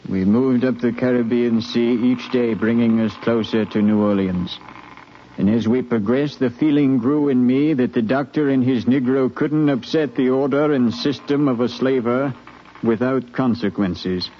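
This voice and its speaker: A man acting a grave, orderly ship's captain in a 1950s radio drama, formal and measured, sombre in his telling. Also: medium pitch, natural voice. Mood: sombre narration. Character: grave, orderly slave-ship captain narrating his voyage. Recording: muffled, hissy 1950s radio recording.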